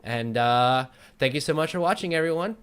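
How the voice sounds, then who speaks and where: A man's voice: on the high side, animated, a little fast, a bit bright, smooth. A man hosting a talk show in a casual interview style, recorded in a bedroom.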